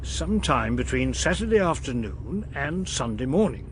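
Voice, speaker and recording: A man playing a formal, indignant upper-class British gentleman with a clipped, huffy manner in a radio drama. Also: mid-range voice, sing-song, normal speed, a little hiss or hum, lightly acted. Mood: explanatory.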